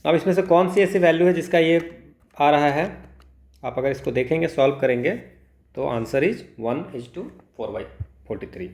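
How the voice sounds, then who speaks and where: Male Indian tutor for exam walkthroughs: slow delivery, switches between Hindi and English, poses and answers questions, roomy echo. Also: fairly high pitch, darkish tone. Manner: mixes Hindi and English, asks himself questions then answers. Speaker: physics tutor. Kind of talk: step-by-step exam problem walkthrough. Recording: echoey room.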